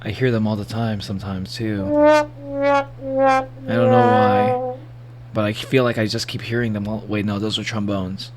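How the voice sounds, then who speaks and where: A man with a gravelly voice giving a casual livestream reaction, rambling and joking playfully as he comments and reads bits aloud. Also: on the low side, huge pitch swings, a little slow, long pauses, soft background music. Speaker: streamer reacting to horror videos.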